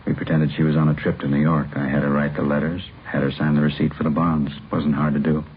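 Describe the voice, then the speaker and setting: An actor playing a resigned, obsessed doctor who confesses in a halting, brooding way, in 1950s radio drama style. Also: male voice, a bass voice, little pitch movement. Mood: brooding confession. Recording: muffled, hissy old radio recording.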